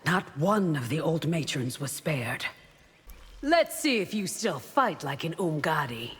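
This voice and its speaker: An actress playing a resolute leader of an elite warrior guard in a video game, with a formal manner. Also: female voice, low-pitched, sing-song, unhurried, slightly rough, faint music behind, heavily acted. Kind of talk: video game dialogue acting. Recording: long hall-like reverb.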